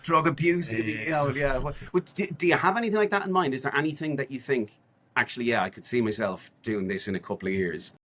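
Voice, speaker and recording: A man's voice: medium pitch, quick pace, fairly bright tone, slight background noise. A man in his forties, a guest on a podcast, chatting casually in a rambling way with many restarts and fillers. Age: 40s. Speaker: guest, charity walker.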